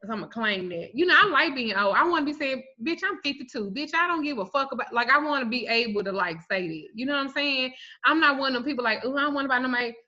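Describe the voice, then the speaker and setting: A woman in her forties, a spiritual reader, telling stories on a livestream in a blunt, profane, animated way. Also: sits high, fast talker. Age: 40s. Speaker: spiritual reader and root worker. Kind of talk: casual livestream talk. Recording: muffled lo-fi stream audio.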